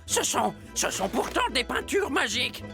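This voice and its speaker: An excitable little cartoon animal chatters eagerly and theatrically in a very high, bouncing voice. Music is clearly heard behind. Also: female voice, normal speed. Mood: excited. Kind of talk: French cartoon dub.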